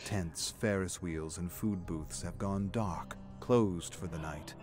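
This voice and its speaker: A man giving measured, theatrical narration of a dark, dramatic scripted story. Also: deep voice, faint music behind.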